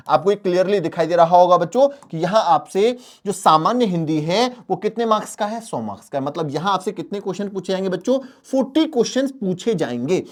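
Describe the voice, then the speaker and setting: A man's voice: high-pitched, a little mellow. A man coaching students as a teacher, emphatic, repeating key phrases and answering his own questions. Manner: asks questions and answers them himself. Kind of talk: exam strategy lesson addressed to students.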